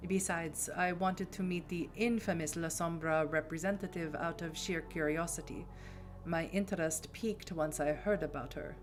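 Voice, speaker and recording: A woman's voice: on the low side, steady tone, normal speed, faint music behind, lightly acted. A woman voicing a brusque, blunt young woman in a story game, with an American accent. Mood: flustered. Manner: curt and brusque. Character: gruff blunt woman, a brash young outsider.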